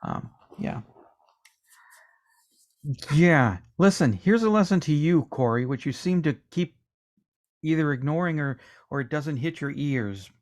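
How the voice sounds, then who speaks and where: A man's voice: on the high side, animated, a little slow, pauses often. Joking male podcast co-host. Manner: jokey, reads listener comments aloud. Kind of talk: casual podcast banter.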